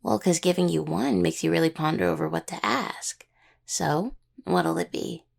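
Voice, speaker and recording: Woman acting husky, gravelly young necromancer partner. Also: lowish voice, moderate pace. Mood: playful teasing. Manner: hesitant, teasing, full of pet names. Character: caring, teasing young necromancer healer, affectionate partner. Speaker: voice actor in roleplay audio. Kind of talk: intimate conversational audio roleplay.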